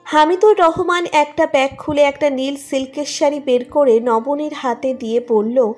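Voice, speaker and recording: A woman's voice: high voice, normal speed, darkish tone. A woman reading a scripted romantic story as its narrator. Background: music under the narration.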